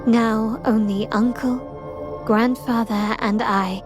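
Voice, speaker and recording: High-voiced, commanding young heiress. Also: female voice, steady tone, slow pace, music in the background. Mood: grave, intent. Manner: composed, commanding, formal. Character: poised young heiress of a powerful family, investigating a betrayal. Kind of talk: scripted video game character acting.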